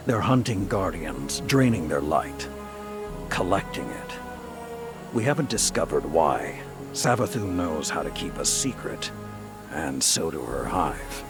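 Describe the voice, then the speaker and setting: A proud, stern game commander with an extremely deep, creaky voice. He gives a solemn, deliberate briefing at a very slow pace over music. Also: lively intonation, heavily acted. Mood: grim resolve. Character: stern male commander of holy warriors, resolute, proud. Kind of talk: video game mission briefing narration. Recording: clear music bed underneath.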